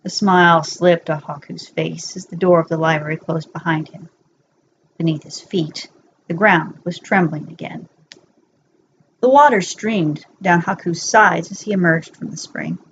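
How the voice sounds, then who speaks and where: A woman's voice: lowish voice, measured pace. A woman narrating fan fiction as a bedtime story, reading from a script in an amateur recording. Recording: dull lo-fi home recording, faint noise.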